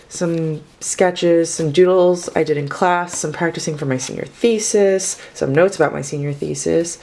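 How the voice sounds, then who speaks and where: A woman's voice: deep voice, darkish tone. A woman in her twenties, sick and sounding a little off, chatting casually and rambling as she flips through her sketchbook. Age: 20s. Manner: chatty, rambling, self-correcting. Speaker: artist vlogger.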